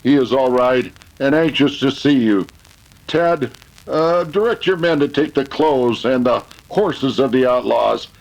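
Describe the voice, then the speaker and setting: A man's voice: mid-range voice, animated, measured pace, gravelly, poor audio. A man playing a resolute masked cowboy hero, giving formal, authoritative orders in an old-time radio western.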